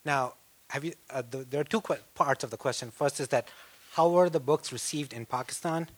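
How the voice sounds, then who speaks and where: A man in the audience asking a two-part question, polite and formal, a little halting. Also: a little high.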